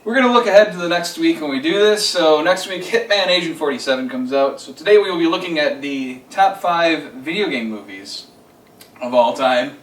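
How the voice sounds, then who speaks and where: Echoey distant pickup, higher-pitched man, podcast host, casual, jokey, informal countdown chat. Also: lively intonation, moderate pace. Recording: echoey room, distant microphone.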